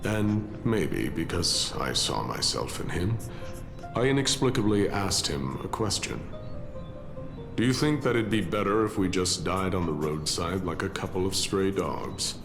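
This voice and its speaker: Gravelly, deep-voiced solemn old-world warrior. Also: male voice, expressive, over music. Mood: bleak. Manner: solemn, measured, grandiloquent. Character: weary, philosophical ancient warrior, embodiment of strife, solemn. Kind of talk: dramatic game voice acting, storytelling monologue.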